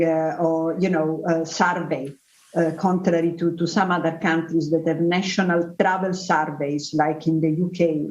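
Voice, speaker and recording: An Italian-accented woman with a lowish voice, speaking in a halting way full of uhs and restarts as an expert panelist. Her audio sounds echoey and dull, like a roomy remote call. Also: darkish tone. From Italy. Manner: hesitant, many fillers and restarts.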